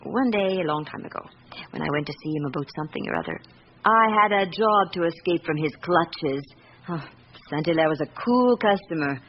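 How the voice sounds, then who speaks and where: Embittered middle-aged wife, British accent, wounded candour, deliberate phrasing, radio play, dull sound with faint noise. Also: female voice, low voice, lively intonation. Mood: candid, confiding. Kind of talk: radio drama dialogue. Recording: muffled, noisy old radio recording.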